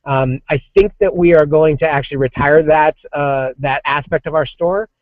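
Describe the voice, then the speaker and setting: A man in his forties talks casually, with frequent fillers, false starts and self-corrections. His voice sits a little higher than most men's. The recording is dry and dull, with a lo-fi sound. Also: normal speed, dry recording. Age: 40s. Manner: rambling, many restarts and fillers. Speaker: comics creative director, guest. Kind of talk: casual podcast interview.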